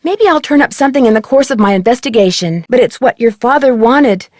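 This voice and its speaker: A woman playing an inquisitive, polite young American detective in a video game, speaking earnestly and clearly. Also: a little high, lively intonation, fairly quick, darkish tone, dry recording, studio quality, close to an everyday voice. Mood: helpful, earnest. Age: young adult. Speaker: player character in an adventure game. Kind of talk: scripted video game dialogue.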